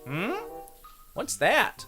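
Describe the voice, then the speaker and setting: A man's voice: high voice, big pitch swings, very slow, bright, forceful tone, soft background music, pitched above the performer's own voice, full character voice. A man voicing a cocky, lecherous male ghost in a grumbling, theatrical reading of a visual novel. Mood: scheming.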